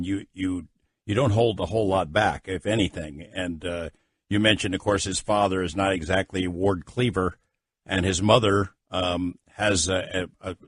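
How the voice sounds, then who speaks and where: A male interviewer talks in a low, husky voice. His style is casual and conversational, a little halting as he works his way toward each question. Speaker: interviewer, host.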